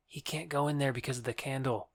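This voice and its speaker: An actor playing a joking courtier who heckles with teasing quips in a comic audio drama. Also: male voice, medium pitch, fairly even intonation, fast, lightly acted. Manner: teasing, quipping. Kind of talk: modernized Shakespeare audio drama comedy.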